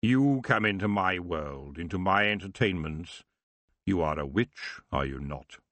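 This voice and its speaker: A man's voice: low voice, expressive, measured pace, fairly bright tone, dry recording. A man voicing a lazy, lecherous, seductive elf king for an audiobook, drawling and condescending. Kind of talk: audiobook character voice.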